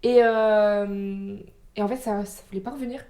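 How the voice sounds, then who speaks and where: A young woman guest chatting in an interview, hesitant with many fillers and quick to laugh. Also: mid-range voice, steady tone, warm tone. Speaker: guest, former volunteer. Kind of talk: casual interview chat.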